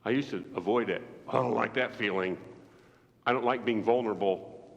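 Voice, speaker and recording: A man in his fifties from Iowa, preaching a sermon with animated storytelling that swings between loud outbursts and quiet asides. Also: lowish voice, moderate pace, creaky. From Iowa, United States. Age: 50s. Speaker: evangelist preacher. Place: church sanctuary. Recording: echoey church hall, dull lo-fi live recording.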